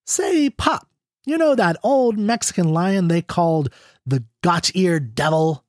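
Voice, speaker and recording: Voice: mid-range voice, expressive, a little slow, darkish tone, no room sound, studio quality, voice pushed up, natural voice. A reader voicing a young ranch woman who sounds sweet, pleading and girlish. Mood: boastful.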